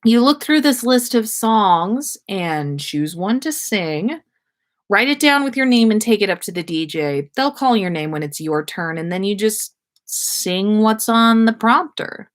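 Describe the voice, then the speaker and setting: A woman's voice: medium pitch, expressive, unhurried, lightly acted. A woman voicing a sober, sensible young man in a story, emphatic and a little grumbling. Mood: matter-of-fact.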